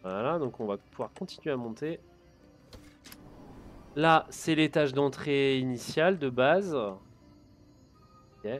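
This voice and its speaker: A man hosting a let's play, giving relaxed, chatty commentary on his gameplay and talking to himself as he goes. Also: mid-range voice, takes it slowly, long pauses, soft background music.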